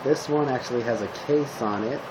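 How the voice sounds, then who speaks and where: A man improvising a casual roleplay monologue as a calm zombie apocalypse survivor, talking to the listener in short, hesitant fragments. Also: mid-range voice, fairly quick, noisy background. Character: calm male zombie apocalypse survivor giving weapon tips. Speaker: roleplay video creator playing a zombie survivor.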